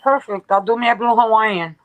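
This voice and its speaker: A woman from the United States, a panel guest who teases playfully in short quick replies. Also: on the high side, highs cut off. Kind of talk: casual panel chat.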